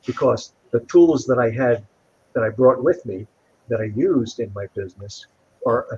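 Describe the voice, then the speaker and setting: A man's voice: medium pitch, animated, normal speed, a little mellow, slight background noise. An older man giving an informal comment as a participant in an online call. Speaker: event participant, former consultant. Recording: dull lo-fi call audio.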